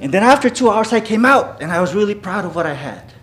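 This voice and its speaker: A man's voice: high-pitched, sing-song, fast, noisy background. A man from the Philippines whose first language is Filipino, telling personal stories to a live audience and often checking in with "okay?". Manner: keeps checking in with the crowd with "okay?". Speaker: speaker. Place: venue before a live audience.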